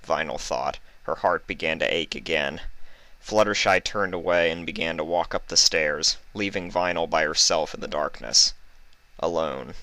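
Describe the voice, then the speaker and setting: A man's voice: very deep voice, bright, forceful tone, no room sound. A man reading a story aloud as scripted narration. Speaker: fan fiction reader.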